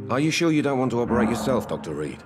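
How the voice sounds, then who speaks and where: A man's voice: mid-range voice, big pitch swings, racing, natural voice. A man acting a plain-spoken working-class carpenter in a video game, blunt but courteous and down-to-earth. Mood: frank, resigned. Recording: music under the dialogue.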